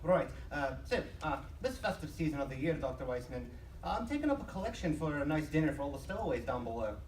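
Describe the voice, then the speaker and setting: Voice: medium pitch, big pitch swings, fast talker, a little mellow, slight background noise, lightly acted. An actor playing a polite, nervous, earnest man collecting for charity in a live audio drama, eager and pleading. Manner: hesitant starts, eager, pleading. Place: convention live show stage. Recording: echoey live stage, distant mic.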